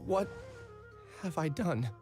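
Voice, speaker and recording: A man's voice: fairly high pitch, lively intonation, takes it slowly, a little mellow. An actor playing an anxious, earnest young man with a hesitant, nervous manner in a video game. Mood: shaken, pained. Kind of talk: emotive video game voice acting. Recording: game music behind the voice.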